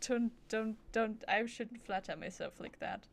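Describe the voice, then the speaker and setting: Playful woman game streamer, slightly high voice. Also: unhurried, vocal fry. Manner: playful, chatty muttering, talking to herself and chat. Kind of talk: casual playthrough commentary.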